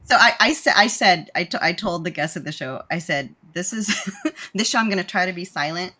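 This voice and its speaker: Chatty woman hosting a panel, first language tagalog, voice a bit on the low side. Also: animated, pushed and bright. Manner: chatty, jumps in with quick asides. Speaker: podcast host.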